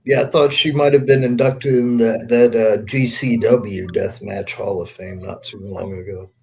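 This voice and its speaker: A man's voice: lowish voice, steady tone, moderate pace, gravelly, mellow. A man co-hosting a podcast, chatting casually and plugging things in a joking, loose-tongued manner. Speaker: podcast co-host. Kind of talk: casual chat with promotional plugs.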